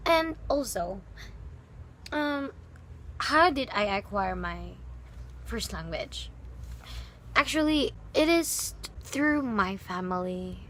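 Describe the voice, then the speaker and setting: A woman's voice: mid-range voice, lively intonation, slow pace, pauses often, a bit bright, slight background noise, rough recording. A young woman from the Philippines with a Filipino accent, speaking English as a second language in a chatty, giggly student vlog. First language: Bisaya. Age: young adult. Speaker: student vlogger. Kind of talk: casual vlog talk to camera.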